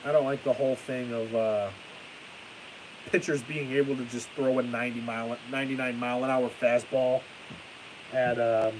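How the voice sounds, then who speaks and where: A young man chatting on a live stream with a stuffy nose and a fading voice, ranting excitably and then trailing off into tired mumbles. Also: medium pitch. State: stuffy-nosed, losing his voice. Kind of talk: casual live stream chat. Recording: hissy home stream mic.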